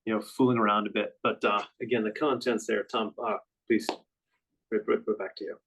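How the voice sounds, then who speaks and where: Gravelly low-voiced man presenting markets. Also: flat delivery, a bit bright, slight background noise. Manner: halting, many fillers and restarts. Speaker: financial advisor presenting a market update. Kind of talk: webinar presentation.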